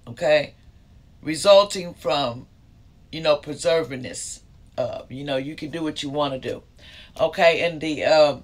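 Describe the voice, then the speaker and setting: A middle-aged man reads tarot cards in a loose, rambling way, full of fillers and restarts. His voice sits somewhat high for a man. He speaks close into a handheld microphone in a small indoor room. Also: fairly bright tone. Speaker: tarot reader. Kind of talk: casual tarot reading to camera. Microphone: handheld microphone held close.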